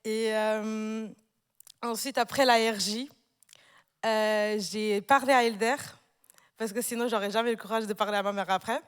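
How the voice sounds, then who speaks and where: Woman, twenties, high-ish voice, hesitant testimony, reverberant large hall. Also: fairly even intonation, smooth. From Portugal. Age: 20s. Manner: hesitant, many pauses and fillers. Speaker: newly baptized church member. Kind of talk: personal testimony on stage.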